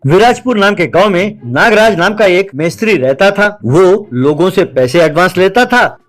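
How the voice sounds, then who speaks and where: A man's voice: high voice, animated, normal speed, soft background music. A man narrating a scripted moral story for a cartoon.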